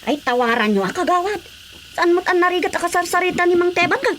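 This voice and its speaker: A woman's voice: very high voice, lively intonation, fast, fairly bright tone, slight background noise. A woman playing a nosy, chatty, opinionated village woman in a comic radio drama, speaking with an Ilocano accent. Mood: curious.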